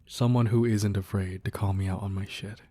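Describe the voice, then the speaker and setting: A man playing a devoted, protective crime boss boyfriend in a romantic ASMR roleplay, speaking softly and earnestly with hesitant pauses. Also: fairly low pitch, fairly even intonation, husky, no room sound. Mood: tender, reflective. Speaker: audio roleplay performer. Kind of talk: romantic ASMR roleplay, intimate scripted monologue.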